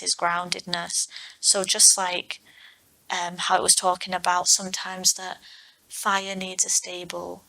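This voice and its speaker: A woman's voice: fairly low pitch, bright, forceful tone, poor audio. A woman who speaks as an online tarot reader, talking through cards unscripted in a reflective, explanatory manner. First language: English. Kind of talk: unscripted tarot card reading to viewers.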